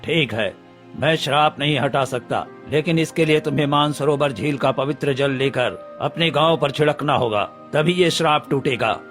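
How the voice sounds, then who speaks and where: A man acts out the spirit of a wronged holy man in a cartoon moral tale. He is stern and grave, handing down commands in a slightly high male voice, with music behind him. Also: moderate pace, a bit bright, natural voice. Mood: grave, commanding. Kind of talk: Hindi moral-story cartoon dialogue.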